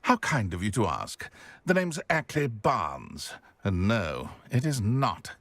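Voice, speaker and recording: An actor playing a pompous, indignant, vengeful businessman wizard in a video game, theatrical and husky. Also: on the low side, big pitch swings, slow, a bit bright, no room sound, heavily acted. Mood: indignant, scheming. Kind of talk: video game character acting.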